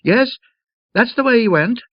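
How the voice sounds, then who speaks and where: Guy doing a chatty servant woman in a storybook, high excitable voice, rustic talk, dry sound. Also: unhurried, no echo, highs cut off, full character voice. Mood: urgent. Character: excitable female servant at a seaside inn.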